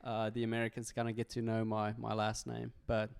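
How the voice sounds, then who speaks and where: South African man in his thirties, smooth voice. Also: fairly low pitch, monotone, fairly quick. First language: Afrikaans. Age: 30s. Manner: friendly, talkative, says "man" a lot. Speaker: podcast guest. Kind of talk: casual chat.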